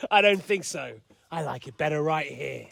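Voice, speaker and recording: Game villain: smug, mocking drawl, fairly high, sharp-edged, very animated, theatrical. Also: male voice, slow, gravelly, breathy edge, no room sound, full character voice. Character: sneering, cruel medieval villain, smug hostage-taker. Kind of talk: dramatic video game acting.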